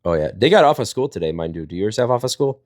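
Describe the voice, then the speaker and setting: Low-voiced guy, fast-talking playful storyteller, very dry close sound. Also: sing-song, darkish tone, dry recording. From Wisconsin, United States. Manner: rambling, jokey, playful. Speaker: storyteller and live panel host. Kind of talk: casual chat and storytelling.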